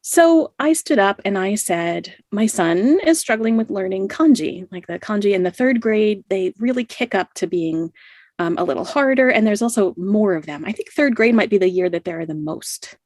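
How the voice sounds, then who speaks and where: A woman's voice: mid-range voice, animated, moderate pace, darkish tone, dry recording, studio quality. A middle-aged woman telling a personal story as a podcast guest, laughing often and filling with "you know" and "like". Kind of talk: casual storytelling in an interview.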